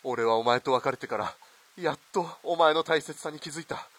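A man's voice: on the high side, moderate pace, fairly bright tone. An actor playing a wealthy, domineering man who pleads bluntly and ardently as a lover in a radio melodrama. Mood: pleading. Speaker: actor in amateur radio drama. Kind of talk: melodramatic radio drama acting.

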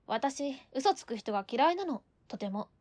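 A woman's voice: high voice, lively intonation, unhurried, darkish tone, dry recording. A woman playing a strict, feisty eighteen-year-old landlady in a Japanese radio drama, with a scolding, cheeky and bossy manner.

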